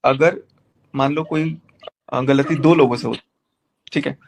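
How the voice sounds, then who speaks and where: A man's voice: medium pitch, fairly even intonation, moderate pace, creaky, poor audio. A man speaking insistently in a heated, casual discussion, repeating his phrases to make his point. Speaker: YouTuber in a group call. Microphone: group phone call.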